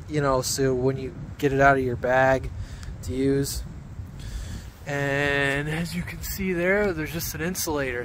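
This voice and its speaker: Man, mid-pitched voice, informal hobby vlogger, halting off-the-cuff how-to talk, outdoors with faint background noise. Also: fairly bright tone. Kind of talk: casual off-the-cuff how-to explanation. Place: outdoors among trees.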